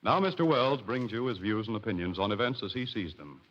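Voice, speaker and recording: A man's voice: lowish voice, animated, brisk. An American man reading a scripted sponsor message as a 1940s radio announcer. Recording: muffled 1940s radio broadcast.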